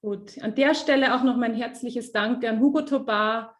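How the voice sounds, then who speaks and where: Woman interpreting on a video call. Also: a little high. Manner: quick, lively, many fillers and self-corrections. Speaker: interpreter and webinar host. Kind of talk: consecutive interpreting in a webinar.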